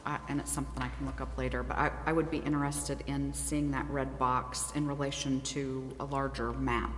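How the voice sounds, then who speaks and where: A woman speaking casually as a committee member at a public meeting. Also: a bass voice. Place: large echoey meeting room, council chambers. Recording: echoey hall, background hiss.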